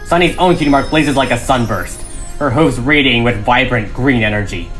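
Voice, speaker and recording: Narrator for audio drama script reading: bright, forceful, dramatic delivery, music underneath. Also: mid-range voice, fairly quick. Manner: dramatic, reads stage directions.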